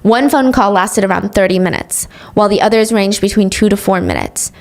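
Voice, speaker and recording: A woman's voice: mid-range voice, a little fast. A woman hosting a podcast, retelling a true crime case in casual chat with sarcastic asides. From grew up speaking English, also Korean. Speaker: podcast host.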